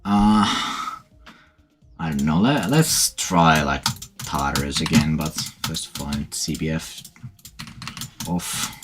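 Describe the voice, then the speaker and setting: A man whose first language is not English, giving casual commentary as he plays a game, laid-back and chuckling, trailing off into quiet asides. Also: a bass voice, slow pace, long pauses, music in the background. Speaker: game streamer. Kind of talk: casual commentary while playing. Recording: steady background noise with clicking. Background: keyboard and mouse clicks.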